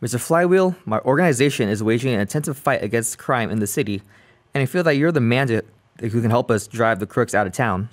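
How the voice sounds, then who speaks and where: A man's voice: mid-range voice, expressive, brisk, natural voice. A man playing an earnest, pompous reformer in a radio play, speaking in stiff, formal speeches. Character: earnest pompous crime-fighting committee man.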